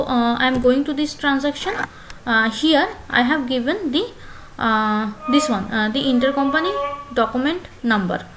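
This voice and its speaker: A woman giving a spoken screen-share software tutorial as instructor, phrasing haltingly with many filler pauses and repeating phrases step by step. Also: sits high, background noise.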